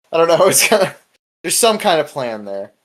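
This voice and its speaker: A man's voice: fairly high pitch, big pitch swings, moderate pace, a bit bright. Rambling male podcast host, chatty. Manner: rambling, chuckles as he talks. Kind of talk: casual podcast chat.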